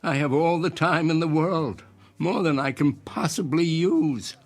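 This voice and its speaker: An actor playing an eccentric older inventor in an audio drama, speaking in a formal, measured, philosophical and persuasive way. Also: male voice, fairly high pitch. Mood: wistful, philosophical. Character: eccentric old inventor, courteous, cryptic, philosophical stranger.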